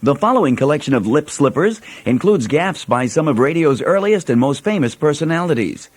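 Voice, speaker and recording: A man's voice: a little high, lively intonation, brisk. A man reading scripted narration as presenter of a recorded collection. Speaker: narrator introducing a bloopers collection.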